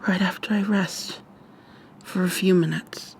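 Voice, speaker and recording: A woman playing a defiant, frightened young human captive in an intimate, hushed, breathy ASMR roleplay, tense and sweary. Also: on the low side, steady tone, measured pace, slight background noise. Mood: drowsy, threatening. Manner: tense, profane, confrontational.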